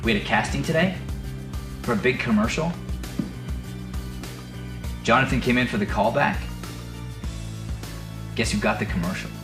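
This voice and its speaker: A man's voice: medium pitch, lively intonation, moderate pace, long pauses, over music. A man hosting a podcast and telling motivational stories in an earnest, emphatic, conversational way. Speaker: podcast host and acting teacher. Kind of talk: casual podcast talk and motivational storytelling.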